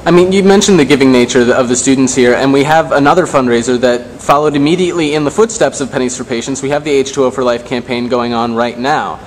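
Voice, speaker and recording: A man interviewing in an unscripted way, phrasing his questions in a halting, wordy, roundabout manner. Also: medium pitch. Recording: reverberant hall, faint noise.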